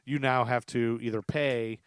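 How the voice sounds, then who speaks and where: A man's voice: medium pitch, steady tone, unhurried, clear, resonant tone. A man hosting a casual history podcast, rambling through a story and laughing often. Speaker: podcast host.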